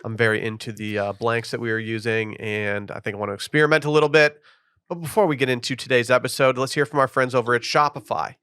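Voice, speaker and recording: A man's voice: mid-range voice, fairly even intonation, fast talker, a bit bright, smooth, no echo. A man hosting a podcast, chatting loosely with lots of fillers and reading an ad. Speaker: podcast host. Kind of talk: casual podcast chat with ad reads.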